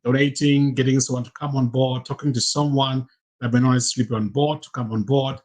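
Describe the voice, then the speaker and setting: Husky Cameroonian man urging supporters. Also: mid-range voice, steady tone, quick pace, a little mellow. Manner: earnest, plain, restarts phrases. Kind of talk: encouraging speech on a video call. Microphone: video call.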